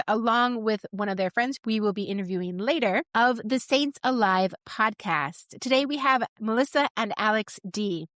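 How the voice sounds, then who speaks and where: A woman's voice: mid-range voice, a bit bright, no room sound, clean studio sound. A woman speaking as a podcast host, giving scripted introductions and asking interview questions.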